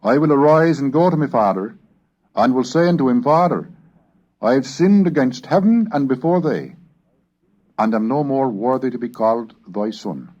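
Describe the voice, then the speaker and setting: A man's voice: medium pitch, lively intonation, moderate pace, a little mellow. Formal, oratorical man on dull tape. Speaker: lecturer and reader. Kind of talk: scripted educational narration with dramatic readings. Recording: dull lo-fi cassette recording.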